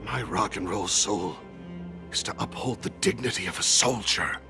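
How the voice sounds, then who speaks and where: A man playing a formal, dutiful guard captain in a video game, stiff and sometimes halting. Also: low-pitched, loud music behind the voice. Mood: grave, conflicted. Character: dutiful male guard captain, flustered by old friend, stern with subordinates.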